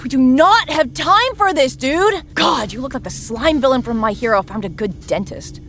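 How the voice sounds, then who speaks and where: Very high-pitched, hot-tempered teenage girl played by a woman. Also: expressive, moderate pace, fairly bright tone. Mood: exasperated. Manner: scolding, brash, dramatic. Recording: music behind the voice.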